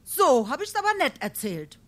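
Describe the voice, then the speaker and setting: An actress playing a gossipy, defensive burgher wife in a dialect stage comedy, plaintive, with a Darmstadt Hessian accent. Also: female voice, sits high, very animated, moderate pace.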